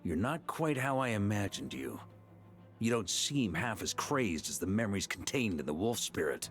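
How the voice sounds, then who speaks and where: A man's voice: lowish voice, big pitch swings, fairly quick, a bit bright, faint music behind. A man playing a seasoned, resolute knight hero in a video game, confident and wry, with a dramatic delivery.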